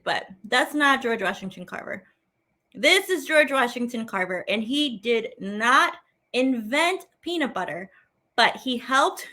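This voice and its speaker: Bright, high-pitched woman teaching children. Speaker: teaching artist hosting a children's show. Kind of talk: animated children's lesson and poem reading.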